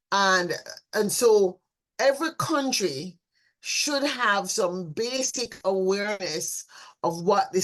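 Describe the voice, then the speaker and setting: A woman's voice: medium pitch, a bit bright. A woman moderating a panel discussion, introducing a guest and asking questions in a conversational way.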